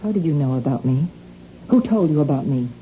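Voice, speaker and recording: Guarded, indignant housewife for a radio drama, curt delivery, lo-fi recording with faint noise. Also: female voice, sits low, expressive, a little fast, mellow. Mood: anxious, guarded. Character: wary, defensive housewife with a guilty secret. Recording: dull, noisy lo-fi radio recording.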